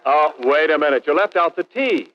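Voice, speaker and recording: A male radio announcer from the 1940s, bantering and pitching products with an emphatic salesman's delivery. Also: animated, moderate pace. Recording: dull, band-limited 1940s radio recording.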